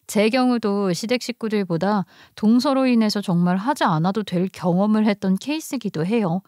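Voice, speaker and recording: Female story narrator, medium pitch, dry studio-like sound, scripted first-person delivery. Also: dark tone, no echo.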